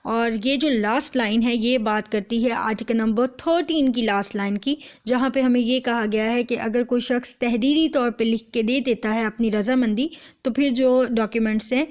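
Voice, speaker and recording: A woman's voice: a little high, moderate pace, a little mellow. A Pakistani woman giving an explanatory lecture, reading and translating line by line as she switches between English and Urdu. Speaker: law lecturer.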